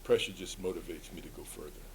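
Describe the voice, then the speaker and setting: A man speaking as a panelist, recounting things in a halting way with many pauses. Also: deep voice, fairly even intonation. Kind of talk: anecdotal panel discussion. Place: live event panel. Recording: clearly noisy live room.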